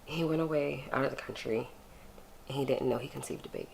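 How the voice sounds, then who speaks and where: A woman's voice: very deep voice, quick pace, gravelly, darkish tone, low-quality recording. A woman acting a regretful middle-aged birth mother, earnest and hesitant, in a screen drama. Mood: pleading. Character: regretful birth mother pleading with her grown son. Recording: faint background noise.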